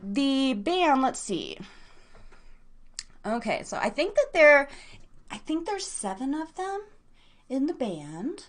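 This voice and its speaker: Casting: female live radio and podcast host, higher-pitched voice, chatty, slangy, loose and rambling delivery. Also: very animated, slow, pauses often. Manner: informal, slangy, rambling, addresses listeners directly. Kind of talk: casual, chatty live show talk.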